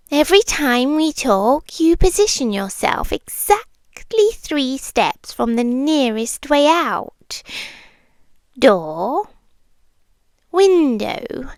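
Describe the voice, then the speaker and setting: A British woman voicing a sweet, possessive young monster girl in an intimate ASMR roleplay, speaking softly and deliberately and often dropping into a breathy whisper. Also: high voice, dry recording, full character voice. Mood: wistful, teasing. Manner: soft, breathy, deliberate, with frequent drops into whispering.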